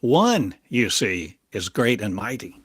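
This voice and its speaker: A man delivering a channeled prophetic monologue in halting, fragmented, oracular phrases full of coined words. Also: fairly low pitch, fairly bright tone.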